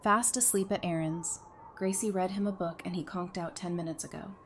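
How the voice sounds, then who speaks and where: A woman's voice: lowish voice, fairly even intonation, moderate pace, slight background noise, lightly acted. An actress playing a concerned, plain-spoken young mother with a matter-of-fact delivery in an audio drama. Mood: worried, matter-of-fact.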